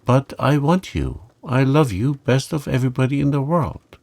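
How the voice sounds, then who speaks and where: A man's voice: mid-range voice, animated, normal speed, creaky, darkish tone, no echo, pitched above the performer's own voice. A man voicing a lonely, loving young boy in a storybook, speaking with earnest pleading. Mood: torn, urgent. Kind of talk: storybook character reading.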